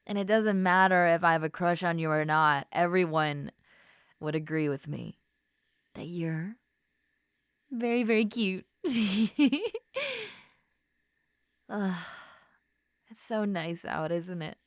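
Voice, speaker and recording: A woman plays a popular schoolgirl who is tipsy and openly smitten in a roleplay audio drama. Her voice is on the low side and softly murmured, with slow, drawn-out, affectionate phrasing. The recording is dry and close, as if she is talking only to the listener. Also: clean tone, no echo. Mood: adoring, teasing, then relaxed, affectionate. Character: tipsy, giddy, lovestruck popular schoolgirl, usually stoic.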